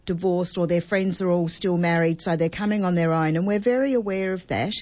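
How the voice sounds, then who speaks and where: Woman, middle-aged, lowish voice, fluent casual explainer, dry muffled studio sound. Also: fairly even intonation, brisk, a little mellow. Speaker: singer in a vocal duo. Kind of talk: casual radio chat.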